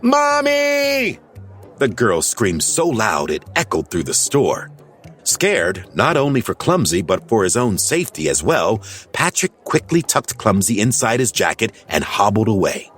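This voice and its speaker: Animated deep-voiced male storyteller. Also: normal speed, faint music behind. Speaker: audiobook narrator. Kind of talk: scripted children's storybook narration.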